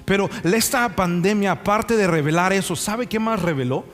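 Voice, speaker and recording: A young male preacher speaks in a somewhat high voice over background music, in a hall with long reverb. He tells anecdotes conversationally and keeps checking in with rhetorical questions. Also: moderate pace, fairly bright tone. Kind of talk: sermon with anecdotes. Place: church sanctuary.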